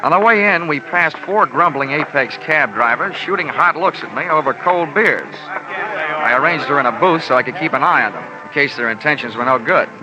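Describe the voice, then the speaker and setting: An American actor playing a tough, wisecracking insurance investigator in old-time radio style, clipped and deadpan. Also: male voice, a little high, moderate pace, lo-fi sound, lightly acted. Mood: wry, matter-of-fact. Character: wry, tough, cocky male insurance investigator narrating. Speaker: lead actor and narrator. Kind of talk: 1940s-50s radio detective acting and narration. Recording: old radio recording with music behind.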